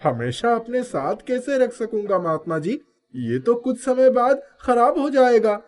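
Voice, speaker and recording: A man's voice: very high-pitched, very animated, unhurried, darkish tone, pitched above the performer's own voice, heavily acted. A man voicing a naive, simple-minded young son in a storybook tale, guileless and wondering. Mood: innocent, puzzled. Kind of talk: storybook character dialogue.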